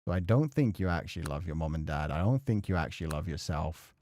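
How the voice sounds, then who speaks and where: A man's voice: deep voice, expressive, fast, mellow. A man doing casual let's play commentary, muttering sweary asides and reading bits of game text aloud to himself. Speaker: gaming streamer.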